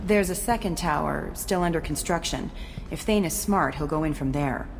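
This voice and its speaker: An actress playing a jaded, tough ex-security chief in a video game, blunt and cynical. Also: female voice, sits low, moderate pace, slight background noise. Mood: cynical, matter-of-fact. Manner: blunt, cynical, matter-of-fact. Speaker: game character. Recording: game soundtrack music behind.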